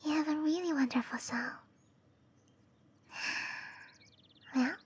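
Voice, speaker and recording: A woman voicing a shy, gentle young cherry blossom dryad in a breathy, hesitant whisper for roleplay ASMR. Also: high voice, fast talker, close to an everyday voice. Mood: shy, caring. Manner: hesitant, bashful, softly spoken. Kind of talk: roleplay ASMR, intimate and slow.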